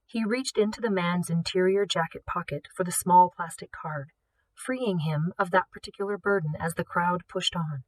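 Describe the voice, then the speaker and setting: A woman reading a story aloud in an expressive, scripted narration style. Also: fairly low pitch, moderate pace, poor audio. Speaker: audiobook reader, teacher.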